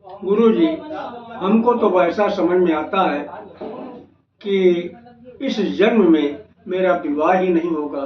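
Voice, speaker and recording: A man's voice: high-pitched, takes it slowly, pauses often. A man voicing a pleading, despairing young woman who longs to marry, in an astrology video. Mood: pleading, wistful. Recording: echoey, noisy, dull lo-fi, distant mic.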